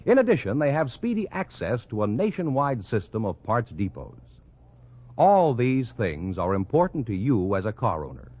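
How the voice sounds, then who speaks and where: An American man reading scripted announcements and sponsor copy in 1940s-50s radio style. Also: mid-range voice. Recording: dull vintage radio broadcast recording.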